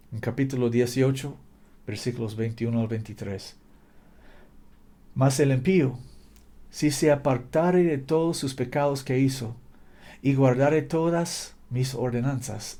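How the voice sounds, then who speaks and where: Man preaching, non-native speaker, mellow warm tone, fervent repetitive exhortation. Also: mid-range voice. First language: not Spanish. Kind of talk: fervent sermon.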